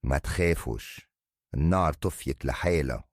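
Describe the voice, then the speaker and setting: A man's voice: rumbling low, lively intonation, moderate pace. A man playing a serene, reassuring hermit monk in a children's cartoon. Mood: calm, reassuring.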